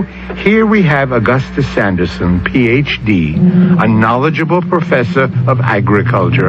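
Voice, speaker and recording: A man narrates a vintage radio mystery with theatrical, wry deliberation. His medium-pitched voice rises and falls dramatically. Loud music plays behind him. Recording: muffled old radio broadcast, loud music.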